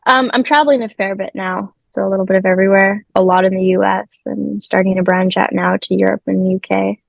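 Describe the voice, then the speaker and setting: A chatty teenage woman, guesting on an interview call. Her voice has a gravelly fry at the ends of phrases. The sound is dry and close, with no room around it. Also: mid-range voice, steady tone, fast, dry recording, lo-fi sound. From South Africa, raised in Vancouver. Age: late teens. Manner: chatty, upbeat, lots of fillers. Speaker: guest magician. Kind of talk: casual chat. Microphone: remote call.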